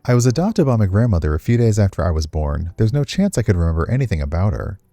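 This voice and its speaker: An earnest young woman dancer in a visual novel, read by a man, hesitant and slightly defensive. The voice stays low and mellow, close and dry. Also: sing-song, fast talker, dry recording, faint music behind. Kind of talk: reading visual novel dialogue aloud.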